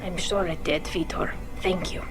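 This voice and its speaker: A woman's voice: on the low side, steady tone, moderate pace, music in the background, close to an everyday voice. A woman playing an earnest, loyal young alien engineer in a video game, polite but resolute in manner. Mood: gentle, resolute.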